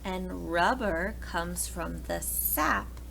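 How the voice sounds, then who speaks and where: A woman's voice: lowish voice, very slow, gravelly, fairly bright tone, a little hiss or hum. A woman reading a picture book aloud to preschoolers, expressively and speaking directly to the young listeners. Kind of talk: children's picture book read-aloud with asides.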